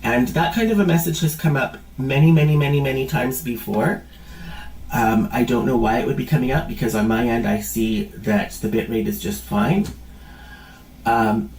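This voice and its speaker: A man's voice: medium pitch, creaky, darkish tone, a little hiss or hum. A man hosting his own channel, ranting casually and indignantly, swearing and repeating himself. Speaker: channel host. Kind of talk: angry casual rant to camera. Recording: roomy sound from a distant mic.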